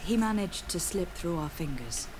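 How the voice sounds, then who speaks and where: A woman playing a poised, firm young noblewoman envoy in a video game, speaking in a formal, measured way. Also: on the low side, expressive, noisy background, close to an everyday voice. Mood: composed, formal.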